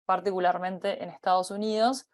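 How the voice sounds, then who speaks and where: A woman in her thirties with a Uruguayan accent, giving a personal career talk, pausing often with fillers and restarting her phrases. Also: fairly low pitch, steady tone. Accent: Uruguayan Spanish. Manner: hesitant, many fillers and restarts. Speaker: company partner giving a talk.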